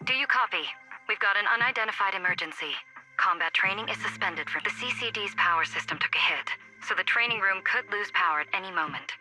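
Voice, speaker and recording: A woman's voice: mid-range voice, fast talker, bright, forceful tone, faint music behind, low-quality recording. A woman voicing an urgent, authoritative female operator over radio in a video game. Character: brisk, commanding female training operator on comms.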